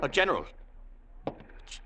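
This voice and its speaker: An actor playing a cowardly fat underling, pleading and stammering in terror, in old-time radio drama style. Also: male voice, a little slow, sharp tone. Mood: frightened pleading. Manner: grovelling, stammering, frantic. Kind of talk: 1940s-50s radio drama acting. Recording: vintage radio broadcast recording.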